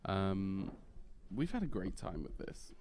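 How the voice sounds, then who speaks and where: A man's voice: low voice, very animated, takes it slowly, darkish tone, slight background noise. A man commentating a live game broadcast, rambling and self-deprecating.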